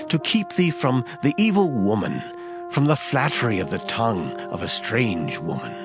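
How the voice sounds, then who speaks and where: A man giving a dramatized scripture reading as narrator, in a solemn, declamatory manner. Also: on the low side. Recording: guitar music under the voice. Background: guitar music.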